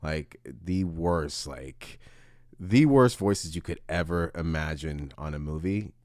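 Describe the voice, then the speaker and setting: Male podcast host from Costa Rica, very low voice, dry close sound, lively casual movie talk. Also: slow pace, dry recording.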